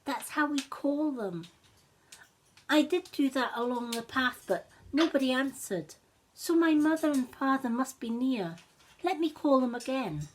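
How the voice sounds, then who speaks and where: A woman voicing a polite, eager little male wolf cub in a bedtime story, lifting her voice higher than her own. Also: animated, a little slow, low-quality recording. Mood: hopeful.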